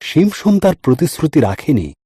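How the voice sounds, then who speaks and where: A man's voice: a little high, sing-song, moderate pace, warm tone, husky, dry recording, clean studio sound. A man narrating a scripted story in a dramatic storytelling style. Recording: music under the voice. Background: background music.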